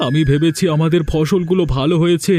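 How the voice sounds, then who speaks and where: A man playing a worried, affectionate village farmer husband and father in a Bengali cartoon, with an expressive, complaining delivery. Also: on the high side, fast talker, natural voice.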